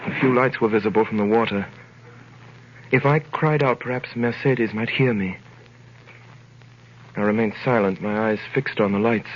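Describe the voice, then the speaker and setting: A man's voice: fairly low pitch, moderate pace, long pauses, close to an everyday voice. An actor playing a wrongly imprisoned young sailor telling his ordeal, in a grave, theatrical 1930s radio style. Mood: grave, wistful. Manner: grave, dramatic, theatrical delivery. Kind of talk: 1930s radio drama acting and first-person narration. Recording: noisy, muffled 1938 radio broadcast.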